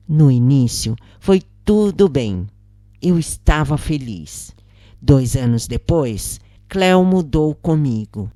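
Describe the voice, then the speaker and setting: A woman narrates a scripted story dramatically. Her voice is low and unhurried, with lively rises and falls in intonation. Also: no room sound, clean studio sound.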